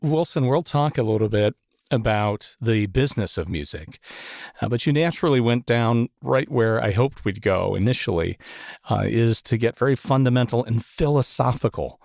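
A man hosting a podcast, reading a measured, formal introduction and then asking his guest questions. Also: medium pitch, animated, vocal fry, darkish tone, dry recording, studio quality. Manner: measured, formal announcer delivery. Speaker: podcast host. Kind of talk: scripted show intro and interview questions.

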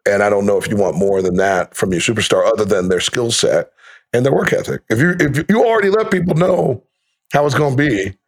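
A man's voice: on the low side, animated, brisk. A man in his fifties, a guest on a podcast, talking in a laid-back, storytelling way and laughing easily. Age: 50s. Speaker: guest, radio play-by-play announcer. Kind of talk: casual podcast conversation.

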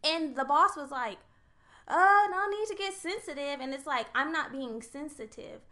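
A woman's voice: high-pitched, normal speed, sharp tone. A young woman co-hosting a podcast, making her case earnestly and point by point in casual chat. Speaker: podcast co-host.

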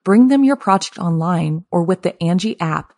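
Lowish-voiced woman reading a dry-sounding commercial. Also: animated, normal speed, a little mellow, dry recording. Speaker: advertisement announcer. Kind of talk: scripted commercial read.

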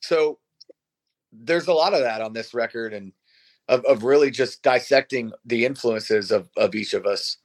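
A man's voice: mid-range voice, moderate pace. A man of about forty talks like a rock singer being interviewed. His speech is informal and conversational, with some swearing, in a mid-range voice. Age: around 40. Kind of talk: casual chat.

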